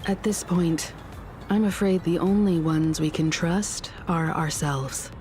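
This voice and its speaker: An actress playing a calm, analytical woman in a video game story, speaking in a measured, deliberate way. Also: fairly low pitch, slight background noise. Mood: measured, wary. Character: calm, analytical adult woman, cautious crew member. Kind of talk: scripted game story dialogue. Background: background music.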